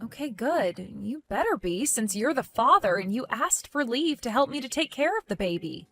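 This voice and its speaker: A woman voicing a young pregnant wife who speaks quietly and hesitantly, pleading to understand. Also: fairly high pitch, sing-song, normal speed, soft background music. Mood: bewildered. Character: soft-spoken young pregnant wife, confused and patient.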